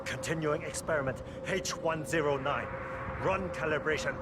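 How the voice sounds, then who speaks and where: An actor playing an arrogant, obsessive mind-control experimenter who gives clipped commands and strains with effort in a video game. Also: male voice, fairly high pitch, normal speed, heavy background noise, natural voice. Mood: commanding.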